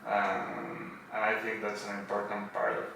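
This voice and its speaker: A man's voice: on the low side, level tone, normal speed, creaky, pushed and bright. A man from Buenos Aires with an Argentine accent chats casually in an interview, phrasing hesitantly in English as a native Spanish speaker. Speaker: podcast guest, tattoo artist. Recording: roomy echo, distant mic, faint noise.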